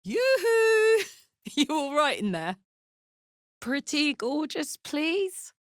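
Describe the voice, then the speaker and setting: A woman voicing a brash, warm-hearted and rowdy female adventurer in a video game, with blunt, informal and expressive delivery. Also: high-pitched, takes it slowly, dry recording, studio quality, heavily acted. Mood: excited, rowdy.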